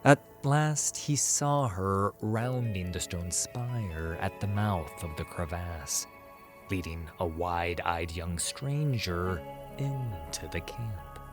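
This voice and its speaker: A man's voice: low voice, takes it slowly, over music. A man narrating a scripted fantasy story as a storyteller.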